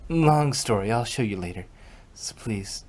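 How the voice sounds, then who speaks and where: A man voicing a caring female unicorn pony, earnest and reassuring, a little halting. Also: lowish voice, lively intonation, a little mellow.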